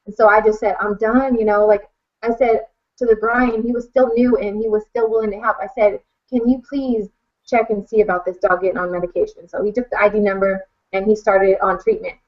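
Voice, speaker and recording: A woman's voice: mid-range voice, fairly even intonation, a little fast. A woman speaking emphatically and frankly as a podcast guest, giving a casual personal account. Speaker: animal rescue advocate, podcast guest. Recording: dull lo-fi podcast recording.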